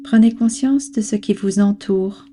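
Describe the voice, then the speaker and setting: A woman guiding a relaxation practice as a meditation instructor, speaking calmly and soothingly in measured instructions with long pauses. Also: medium pitch, a little hiss or hum. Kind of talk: guided yoga nidra relaxation.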